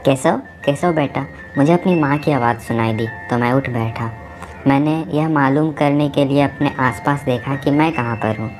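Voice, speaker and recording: A man's voice: mid-range voice, normal speed, music in the background. A man narrating a novel aloud at home, with a sore throat from a cough, voicing the dialogue as he reads. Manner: casual, mixes English words into talk. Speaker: audiobook narrator. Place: home recording, busy household.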